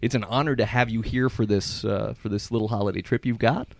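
A man's voice: lowish voice, steady tone, a little fast, gravelly, dry recording. A man in his forties hosting a podcast, playful and joking, laughing often. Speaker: podcast host. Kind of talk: casual chat.

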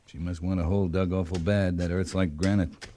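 An actor playing a steady cavalry captain in a radio western, speaking in an understated, authoritative and clipped way. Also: male voice, deep voice, fairly quick, natural voice. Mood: calm, watchful. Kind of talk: 1950s radio western acting. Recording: noisy vintage radio drama recording.

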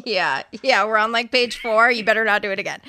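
A woman co-hosting a podcast, chatting casually and telling stories in an animated way, acting out what people said. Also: mid-range voice, fairly quick, smooth. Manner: animated storyteller who acts out quotes. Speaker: podcast co-host. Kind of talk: casual chat and storytelling.

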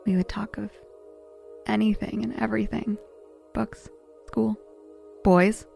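A blunt, searching woman biographer, with a creaky edge to her mid-pitched voice. She is troubled and probing in a naturalistic audio drama, with music behind her. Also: darkish tone. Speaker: character in a sci-fi audio drama.

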